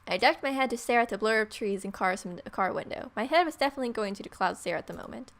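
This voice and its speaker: A woman's voice: fairly high pitch, lively intonation, fast, fairly bright tone. A woman hosting a let's play, reading a visual novel aloud with playful, irreverent casual commentary.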